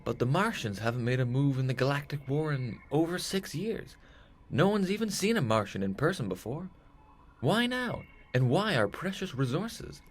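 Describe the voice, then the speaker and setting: A man's voice: on the high side, big pitch swings, moderate pace. A man playing an earnest, folksy heroic space captain in a retro sci-fi audio drama, full of old-fashioned exclamations. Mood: concerned.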